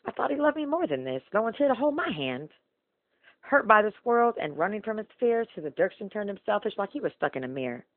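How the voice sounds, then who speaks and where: A dull, lo-fi and fairly dry recording of a woman at an ordinary mid pitch. She recites slam poetry with emotional force, leaning on the rhythm and rhymes of each line. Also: very animated, fast, no room sound. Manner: emotional, rhythmic rhyming delivery. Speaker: slam poet.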